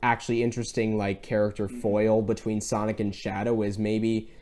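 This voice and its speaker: A man's voice: on the low side, flat delivery, normal speed, a little mellow, slight background noise. A man co-hosting a casual film discussion, talking in an earnest, rambling, enthusiastic way. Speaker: podcast co-host.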